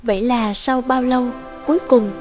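A woman's voice: a little high, steady tone, normal speed, darkish tone, dull audio, faint music behind. A woman narrating a scripted fairy tale as a storyteller.

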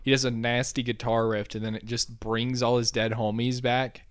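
A man's voice: medium pitch, moderate pace, smooth. A young man co-hosting a casual movie review on a couch, talking into a handheld mic with fillers and laughter. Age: young adult. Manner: laughing, animated, many fillers. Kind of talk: casual chat. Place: living room, on a couch.

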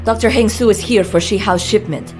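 An actress playing a cold, clinical chief medical officer in a video game. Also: female voice, mid-range voice, a little fast, a little mellow, faint music behind, lightly acted.

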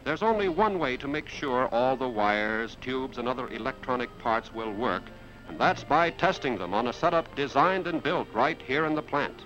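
Animated American 1950s newsreel-style male narrator. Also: medium pitch, moderate pace. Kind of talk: 1950s industrial film narration, scripted. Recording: muffled 1950s film soundtrack with music. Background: background music.

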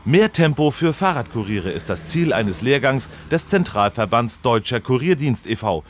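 An actor playing an earnest radio reporter in a mock news piece, deadpan, formal and precise. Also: male voice, medium pitch, normal speed, a little hiss or hum, lightly acted. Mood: earnest, inquiring. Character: earnest male radio reporter and chronicler, straight-faced, factual.

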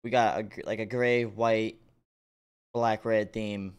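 A man hosting a reaction video, giving excited, casual commentary in slangy, exclamatory bursts. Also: lowish voice, slow, clean tone.

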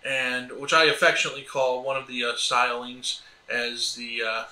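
A man's voice: mid-range voice, level tone, normal speed, pushed and bright, a little hiss or hum. An American man in his thirties co-hosting a homesteading vlog, talking casually and in a wordy, meandering way. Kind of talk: casual explanatory chat to camera. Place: indoors at a table in a small sunlit room by windows. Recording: echoey room.

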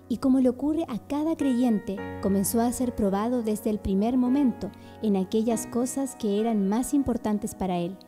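A woman's voice: a little high, warm tone, in a large hall. A woman narrating a religious radio drama from a script.

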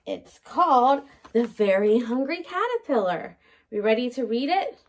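Woman, thirties, high voice, slow careful pace, animated teacher style, children's story time. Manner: animated, addressing young children, asking questions. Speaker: early learning teacher. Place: indoors by a large window in a room at home.

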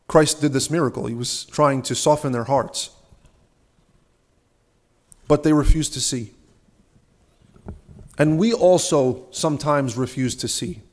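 A young man, a subdeacon, giving an earnest, measured sermon at a church lectern, asking rhetorical questions. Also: on the high side. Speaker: subdeacon preaching. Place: large ornate church, at a lectern. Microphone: lectern microphone.

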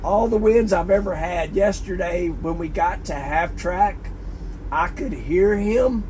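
A man, vlogging on his phone with a hoarse, worn-out voice from screaming, excitable and laughing. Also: sits high, unhurried, a little hiss or hum, rough recording. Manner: excitable, laughing, lets out loud woos. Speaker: drag racing driver and vlogger. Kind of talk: casual vlog to camera. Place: inside a trailer or motorhome. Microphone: phone camera at arm's length.

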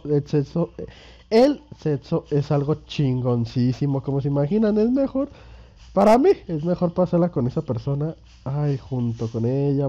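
A young Mexican man with a fairly high voice talks through a game while sick with a cold, loose and rambling, full of crude slang and jokes at his own expense. The sound is dull and lo-fi. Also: dark tone. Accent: Mexican Spanish. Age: 20s. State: sick with a cold and sore throat. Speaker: gaming youtuber. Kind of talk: casual gameplay commentary.

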